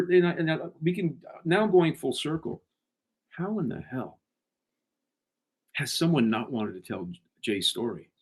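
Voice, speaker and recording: A man's voice: mid-range voice, a little mellow, slightly rough. A man, a guest author, talking in a casual interview with many false starts and repeated words.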